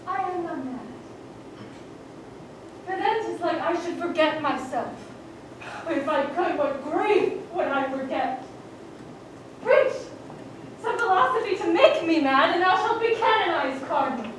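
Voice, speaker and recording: A woman's voice: very high-pitched, animated, a little slow. An actress playing a widowed queen mother crazed with grief, declaiming verse on stage. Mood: anguished. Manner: declaiming, voice swelling to cries. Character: grieving widowed queen mother, frantic with loss. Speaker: stage actor. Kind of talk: live Shakespearean stage acting. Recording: distant stage recording in an echoey hall, with background noise.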